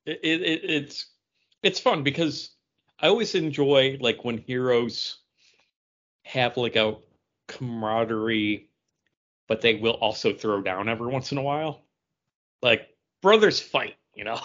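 A man's voice: medium pitch, a little slow, long pauses, a bit bright, highs cut off. A man co-hosting a podcast in casual chat, rambling and often repeating himself as he goes. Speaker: podcast co-host. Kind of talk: casual comic book chat.

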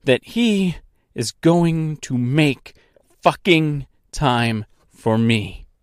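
A man acts out a defiant young woman on a quest in a storybook. The delivery is assertive and emphatic, swinging widely in pitch, deliberate in pace and recorded very dry. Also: expressive, darkish tone, no room sound. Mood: furious.

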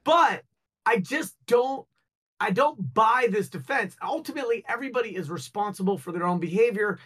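High-voiced joking talk-show co-host. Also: male voice, normal speed, slightly rough. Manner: joking, rambling, animated. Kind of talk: casual panel chat.

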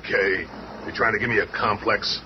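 A man playing a gruff, grumbling, wisecracking monster hero in a radio drama. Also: fairly low pitch, fairly even intonation, brisk, natural voice. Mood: indignant, grumbling. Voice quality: gravelly. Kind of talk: 1970s superhero radio drama acting. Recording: dull, noisy old radio recording.

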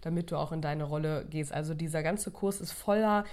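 A woman with a slightly low voice talks loosely about her subject, restarting sentences and filling pauses as she goes. She has a cold, so her breathing is a little short. The delivery is unscripted and conversational. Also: darkish tone. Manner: rambling, many restarts and fillers. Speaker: course creator and podcast host. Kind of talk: casual monologue.

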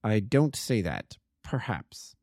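Cool, measured detective voiced by a male reader, low and clipped, dark mellow tone. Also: big pitch swings, no echo, clean studio sound, full character voice. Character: cool, lordly Victorian detective, middle-aged.

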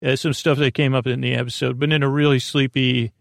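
A man with a creaky, gravelly voice hosts a bedtime podcast, rambling in a halting, meandering, whimsical way, repeating himself and trailing off. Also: mid-range voice, level tone, no echo, clean studio sound. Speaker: host of a bedtime sleep podcast.

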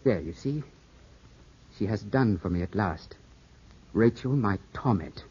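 On an old, muffled radio drama, a young English heir in grief. The voice is low and rough-edged. He is clipped, intense and full of suspicion. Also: lively intonation, unhurried, mellow, full character voice. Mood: troubled, insistent. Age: 20s. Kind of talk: 1950s radio drama acting. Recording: muffled, scratchy 1950s radio broadcast.

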